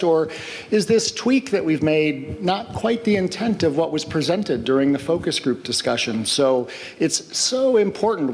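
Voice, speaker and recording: A man's voice: on the high side, a little mellow. A man on a research panel answering a question, speaking emphatically without a microphone. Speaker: researcher on the robot project. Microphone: no microphone, picked up from across the room. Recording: distant voice in a reverberant hall.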